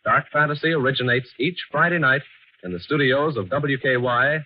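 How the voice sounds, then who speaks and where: A man's voice: medium pitch, moderate pace. An American man reading a scripted sign-off in a formal 1940s radio announcing style. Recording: slightly muffled vintage radio broadcast recording.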